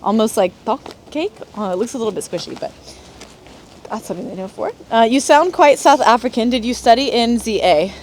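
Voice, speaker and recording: A young woman from North Carolina hosts a live tour, chatting and giggling through plenty of fillers. Her voice sits a little high. She talks outdoors on a clip-on mic, with faint ambient noise behind her. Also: expressive, a little fast, a little mellow. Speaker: host of a travel livestream. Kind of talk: casual live chat while touring. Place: outdoors at a historic fortress park.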